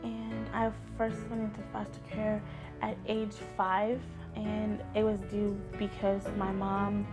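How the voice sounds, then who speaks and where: A twenty-year-old American woman giving a candid personal account in an interview. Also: mid-range voice. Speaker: interviewee, former foster youth.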